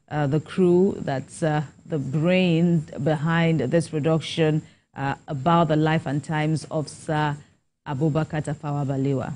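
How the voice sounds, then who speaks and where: A woman with a Nigerian accent presenting live studio news and interviewing in a conversational, halting way. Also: deep voice, a little mellow.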